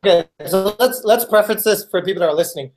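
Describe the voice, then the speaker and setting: A man's voice: high-pitched, quick pace, darkish tone, slight background noise. A man co-hosting a podcast, bantering in a casual, joking way. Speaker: podcast co-host. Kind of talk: casual chat.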